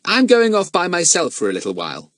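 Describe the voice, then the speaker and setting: A man's voice: high voice, wildly swooping pitch, fast, a bit bright, dry recording, clean studio sound, voice pushed up, exaggerated voice. Brief: male reader doing a sensible, moody boy, storybook. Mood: earnest. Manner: earnest, a bit exasperated.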